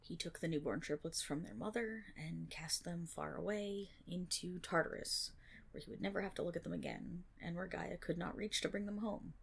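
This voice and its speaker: A woman playing a nerdy, teasing best friend in an ASMR roleplay, rambling through myth with dramatic pauses and wry, animated asides. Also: lowish voice, creaky, slightly rough. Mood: grave storytelling.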